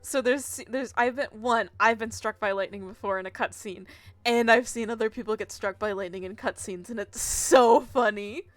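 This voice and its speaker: A woman's voice: fairly high pitch, moderate pace, dry recording. A woman game streamer chatting and commenting casually live, in a giggly, rambling way.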